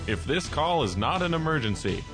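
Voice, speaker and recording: A man's voice: a little high, very animated, brisk. A man working as a radio announcer, reading a scripted show bumper. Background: music.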